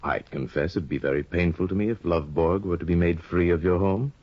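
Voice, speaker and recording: An actor playing a sly, scheming older judge in a 1950 radio drama, insinuating and hesitant, on a dull old broadcast recording. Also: male voice, low-pitched, no room sound. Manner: insinuating, hesitant with drawn-out ums. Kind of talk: 1950s radio drama acting.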